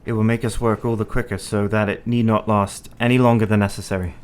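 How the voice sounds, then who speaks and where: A man acting an earnest, determined young Victorian husband in a radio play, with formal, measured period phrasing. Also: fairly low pitch, flat delivery, smooth, natural voice. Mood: tender, resolute.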